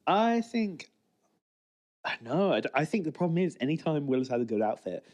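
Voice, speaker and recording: Animated man in casual podcast banter. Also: fairly high pitch, moderate pace. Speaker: podcast co-host. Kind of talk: casual banter, TV episode commentary.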